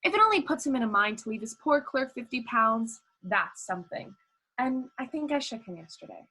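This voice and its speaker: Husky, lively, forgiving young relative played by a woman. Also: fairly high pitch, fairly quick, full character voice. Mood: good-humored, forgiving. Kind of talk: radio play acting.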